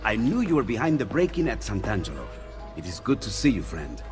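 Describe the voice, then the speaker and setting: Eager inventor, game drama: friendly and earnest, slightly low register, lively swoops, loud score behind. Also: male voice, a little fast. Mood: glad. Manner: earnest, expressive. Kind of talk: dramatic video game acting. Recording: loud music over the voice.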